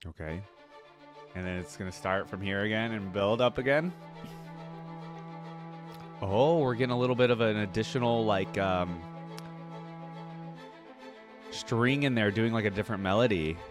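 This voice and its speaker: A man's voice: lowish voice, moderate pace, long pauses. A man hosting a casual reaction video, talking in a rambling, chatty way with lots of fillers and restarts. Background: faint music playing.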